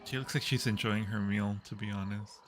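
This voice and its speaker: A man's voice: fairly low pitch, fairly even intonation, brisk, over music. A man hosting a movie watch-along stream, giving casual, joking commentary in a playful, teasing way.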